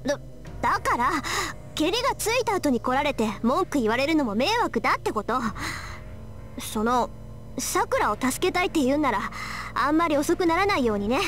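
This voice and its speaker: A woman playing a proud, sharp-tongued teenage girl mage in a Japanese visual novel, blunt and self-assured. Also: high voice, big pitch swings, quick pace. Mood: proud, flustered. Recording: game dialogue over background music.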